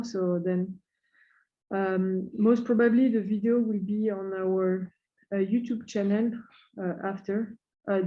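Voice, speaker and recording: A woman opens an online seminar, welcoming everyone and running through the housekeeping rules. She speaks politely and somewhat haltingly, and her voice is mellow and dark. The call audio is dull and muffled, and she sits at a middle pitch. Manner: polite, halting, full of hesitations. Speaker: webinar host and moderator. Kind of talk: formal introduction and housekeeping. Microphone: computer mic on a video call.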